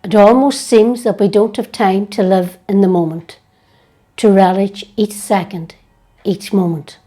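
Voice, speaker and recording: A woman leading a guided prayer meditation in a gentle, hushed, unhurried way with long pauses. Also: medium pitch.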